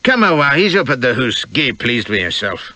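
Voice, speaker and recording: A man voicing a gruff, pious, sardonic Scottish sea captain in an audiobook, with a growl in the voice. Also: mid-range voice, very animated, moderate pace, bright, forceful tone, no echo, voice pushed up. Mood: grudging, gruff.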